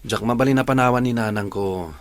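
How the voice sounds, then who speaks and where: An actor playing a devoted, long-suffering young boyfriend in a radio drama, earnest and yielding, with an Ilocano accent. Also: male voice, mid-range voice, fairly quick, close to an everyday voice.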